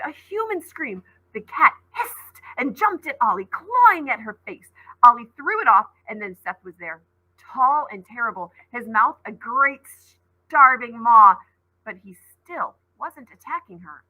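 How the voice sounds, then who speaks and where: A woman's voice: high-pitched, bright, forceful tone, rough recording. A woman teacher reading a storybook aloud expressively and dramatically, with asides to her listeners.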